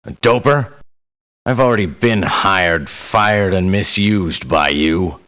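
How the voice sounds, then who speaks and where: An actor voicing a gruff, menacing veteran mercenary in a video game, with a gravelly voice and a blunt, folksy, condescending manner. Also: male voice, sits low, slow, a bit bright. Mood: angry, threatening. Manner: blunt, folksy, talks down to the listener. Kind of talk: video game character voice lines.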